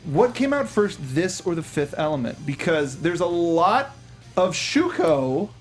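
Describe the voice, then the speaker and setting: Male review-show host, animated, higher-pitched, halting casual delivery. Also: soft background music. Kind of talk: casual movie review chat.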